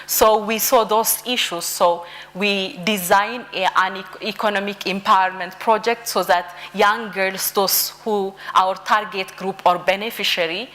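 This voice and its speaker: A woman's voice: mid-range voice, steady tone, measured pace, few pauses, a bit bright. Hesitant woman giving a conference talk. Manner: hesitant, many fillers and restarts. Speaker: project representative. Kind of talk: conference speech.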